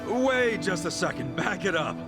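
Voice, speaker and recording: Hotheaded yakuza underdog for a game, blunt, earnest, pitch jumps all over, music behind. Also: male voice, moderate pace. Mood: incredulous. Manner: expressive, blunt, colloquial. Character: hot-headed, earnest underdog yakuza man. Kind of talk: English video game dub acting.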